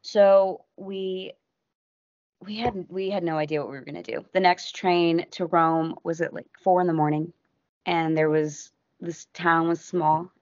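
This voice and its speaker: An American woman, a podcast guest, telling a travel story in a casual, animated way that keeps breaking off and acting out what people said. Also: on the low side, measured pace, dry recording. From United States. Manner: animated, self-interrupting, acts out quotes. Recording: dull lo-fi audio.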